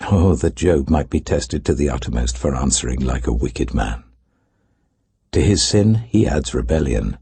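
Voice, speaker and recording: Guy doing an indignant british preacher-like man in a bible audiobook, imperious, husky, swooping up and down. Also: rumbling low, animated, normal speed, mellow, no room sound, pitched below the performer's own voice, heavily acted. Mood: scornful. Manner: declamatory, imperious, grave. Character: Elihu, indignant, earnest man lecturing wise elders.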